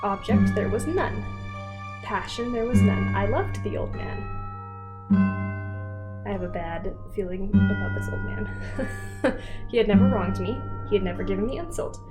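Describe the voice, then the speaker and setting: A woman's voice: mid-range voice, lively intonation, measured pace, pauses often, music in the background. A woman host doing a semi-dramatic read-aloud of a story, wry and informal, breaking off for casual asides.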